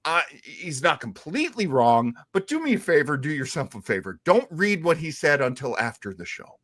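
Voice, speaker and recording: A man hosting a radio show, telling stories in a casual, animated and deliberate way with emphatic repetition. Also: fairly high pitch, dry recording, studio quality. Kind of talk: casual storytelling talk show.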